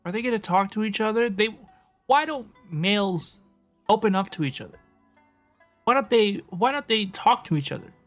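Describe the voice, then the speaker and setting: Female game streamer, medium pitch, casual and chatty, reacting with repeated words over faint music. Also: expressive, normal speed.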